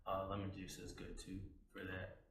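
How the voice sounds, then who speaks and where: Young man, slightly hoarse, echoey room. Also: sits low, moderate pace. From United States. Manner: relaxed, rambling with many fillers. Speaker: spoken word poet guest. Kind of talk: casual interview talk.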